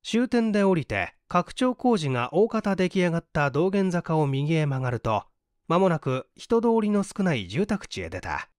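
A man's voice: a little high, very animated, normal speed, no echo, clean studio sound. A man reading literary fiction aloud as a scripted audiobook narrator.

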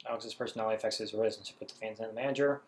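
A man's voice: fairly low pitch, steady tone, very fast, noisy background. A man giving casual gameplay commentary, talking to himself in exasperation and often repeating phrases. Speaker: gaming video creator.

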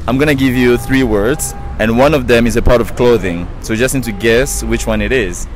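A man's voice: mid-range voice, brisk, soft background music. A young man interviewing passers-by with quiz questions outdoors by a busy road, speaking playfully into a handheld phone mic. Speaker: street interviewer. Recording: outdoor traffic noise. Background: traffic noise.

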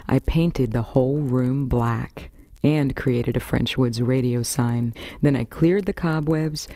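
A woman's voice: very deep voice, mellow, dry recording. A woman with an American accent, hosting a variety radio show with scripted first-person storytelling. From Florida, United States.

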